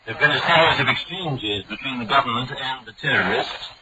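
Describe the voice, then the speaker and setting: A man announcing a formal news bulletin in a measured, even read delivery. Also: mid-range voice. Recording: muffled hissy old shortwave broadcast.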